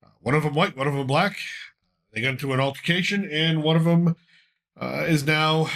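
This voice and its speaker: A congested man hosts a casual talk show, his voice bright and a little raised in pitch. He rambles loosely through the news, pausing with frequent fillers as he goes. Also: steady tone. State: congested from a cold. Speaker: podcast host.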